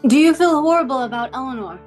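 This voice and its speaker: A woman's voice: sits high, lively intonation, darkish tone. A woman playing an eerily composed, menacing interrogator. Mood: coolly probing. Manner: deliberate, formal, probing. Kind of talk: dramatic script table read. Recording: music playing behind the voice.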